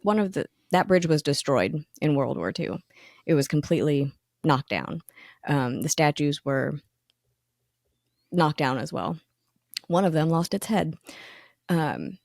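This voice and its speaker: A woman with a low, slightly gravelly voice talks in an easygoing, enthusiastic way, stopping often for ums and fresh starts. The sound is close and dry. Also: darkish tone, no echo. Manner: chatty and enthusiastic, many ums and restarts. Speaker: magazine editor, co-host. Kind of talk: casual podcast conversation.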